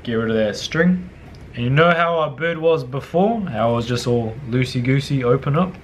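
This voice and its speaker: A young man with a New Zealand accent, talking casually through a cooking tutorial in his home kitchen, slangy and swearing offhand, often dropping to low mutters. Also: animated, normal speed, slight background noise. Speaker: cooking vlogger.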